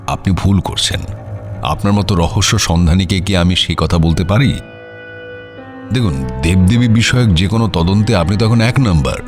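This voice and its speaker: Man playing a very deep-voiced, courteous, guarded gentleman. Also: moderate pace, music in the background, natural voice. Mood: courteous, assured. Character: uneasy, courteous landed gentleman hosting a detective. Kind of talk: Bengali radio audio drama acting.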